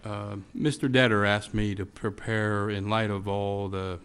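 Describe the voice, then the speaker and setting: A man who is a police chief, hoarse and losing his voice, giving a polite, slightly halting presentation to a council. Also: on the low side, flat delivery, fairly bright tone, slight background noise.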